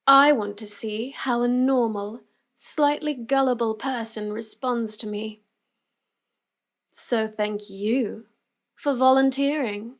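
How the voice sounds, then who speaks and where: A woman playing a cute, smug young villainess who teases and manipulates the listener in a scripted roleplay audio. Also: fairly high pitch. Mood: smug, gloating. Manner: slow, smug, taunting delivery.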